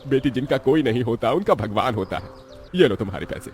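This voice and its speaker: A man voicing a friendly, kindly street-food customer, expressive, in a moral-story cartoon. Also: mid-range voice, fairly quick, soft background music, lightly acted.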